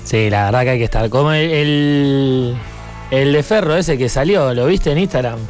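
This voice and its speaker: Male co-host, Rioplatense Argentine, mid-range pitch, relaxed live-radio chat over faint music. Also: moderate pace.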